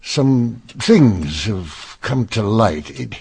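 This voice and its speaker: A grieving, formal Victorian councilman in a radio drama. His lowish voice is husky and gravelly, and he speaks slowly and haltingly, worn down. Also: lively intonation, darkish tone, heavily acted. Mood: weary, troubled. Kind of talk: old-time radio drama acting.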